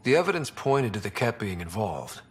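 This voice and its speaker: A man's voice: lowish voice, big pitch swings, normal speed, slightly rough. An actor playing a young, easygoing male human explorer in a video game, casual and level-headed with dry delivery. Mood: easygoing, confident. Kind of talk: video game cutscene acting.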